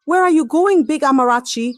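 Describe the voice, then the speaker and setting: A woman's voice: sits high, brisk, no echo, faint music behind, voice pushed up, close to an everyday voice. A woman voicing a cruel, mocking rich schoolboy bully in a story. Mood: mocking taunts. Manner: mocking, taunting.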